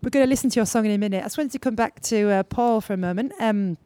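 A woman hosting an interview, chatty and quick to laugh, translating for her listeners. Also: mid-range voice, mellow, no echo. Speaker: radio host and interpreter.

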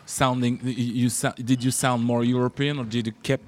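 A man's voice: medium pitch, flat delivery, brisk, a little hiss or hum. A man interviewing a musician, stammering and repeating words as he builds long questions. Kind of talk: casual interview.

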